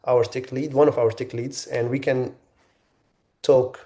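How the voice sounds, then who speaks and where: Halting man from Egypt, mellow voice. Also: mid-range voice, little pitch movement. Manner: halting, many restarts and fillers. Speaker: webinar host. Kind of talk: webinar presentation.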